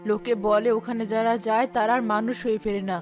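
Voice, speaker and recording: A woman voicing a poor, gravely ill village mother in a cartoon drama, plaintive, fatalistic and protective. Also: a little high, level tone, fast talker, darkish tone, soft background music, natural voice. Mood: alarmed.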